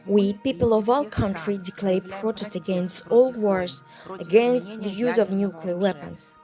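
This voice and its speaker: A woman reading translated statements as a voice-over, in a steady, earnest manner. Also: mid-range voice, a little slow, music in the background. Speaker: voice-over interpreter.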